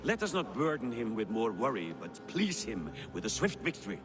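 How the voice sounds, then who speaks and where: Dude doing a sneaky bossy viking chief, swings his pitch a lot. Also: sing-song, moderate pace, music in the background, lightly acted. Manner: conspiratorial, commanding. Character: cunning, secretive Viking war chieftain.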